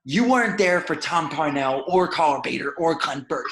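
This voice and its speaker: A man's voice: on the high side, lively intonation, moderate pace, fairly bright tone, a little hiss or hum. A man playing an embittered, accusing villain with wounded intensity in an amateur screenplay table read. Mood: angry, accusing. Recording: echoey home room.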